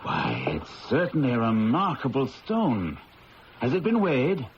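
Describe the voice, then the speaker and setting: A man's voice: medium pitch, wildly swooping pitch, unhurried. An actor playing a worn-out, desperate diamond firm manager in a radio drama, confiding his story. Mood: brisk. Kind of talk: 1970s radio drama acting. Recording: muffled old radio broadcast with faint hiss.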